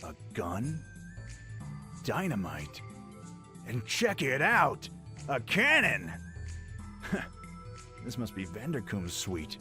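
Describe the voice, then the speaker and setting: An actor playing a brash, swaggering young prince in a fan radio drama, talking over music. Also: male voice, mid-range voice, huge pitch swings, slow pace, long pauses. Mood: curious.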